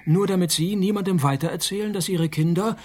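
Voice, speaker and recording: A hard-boiled male detective in a radio drama. His husky, rough-edged voice sits a little high for a man, and he delivers narration and dialogue in a laconic, sardonic deadpan. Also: fairly quick. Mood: sardonic, confident.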